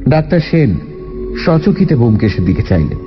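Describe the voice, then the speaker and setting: An actor playing a detective's companion who recounts the case as a radio drama's storyteller. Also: male voice, medium pitch, big pitch swings, a little fast, lightly acted. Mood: reflective, sombre. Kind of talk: scripted dramatic narration. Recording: muffled radio audio with music bed.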